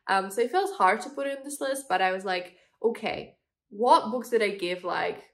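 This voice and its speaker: A woman's voice: mid-range voice, normal speed. A young adult woman with a congested voice from a cold, chatting casually to camera as a book channel host, with lots of fillers and the odd laugh. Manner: chatty, frequent fillers, laughs while talking. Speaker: booktube host. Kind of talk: casual book review chat.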